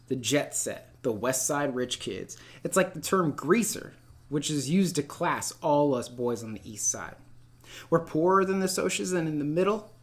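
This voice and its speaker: A man teaching literature in a read-aloud lesson, speaking in an expressive, informal and encouraging way. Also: fairly high pitch. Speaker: middle school literature teacher. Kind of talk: read-aloud lesson, narrating a novel and addressing students.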